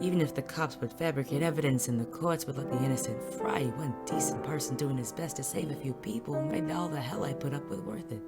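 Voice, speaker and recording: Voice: medium pitch, creaky, lightly acted. An actor playing a weary, cynical hard-boiled private detective in a noir audio drama, wry and sardonic. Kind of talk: 1940s noir audio drama acting and narration.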